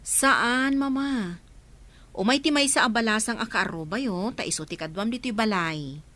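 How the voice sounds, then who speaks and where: A woman's voice: fairly high pitch, normal speed, fairly bright tone, close to an everyday voice. An actress in a radio drama playing a firm, reassuring grown daughter and mother. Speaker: actor in a radio comedy drama. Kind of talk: Ilocano radio drama acting.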